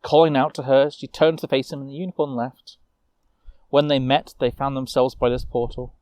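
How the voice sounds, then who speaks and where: A man telling a fantasy tale in character, moving between informal asides and measured narration. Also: medium pitch, little pitch movement, darkish tone, dry recording. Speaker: storyteller.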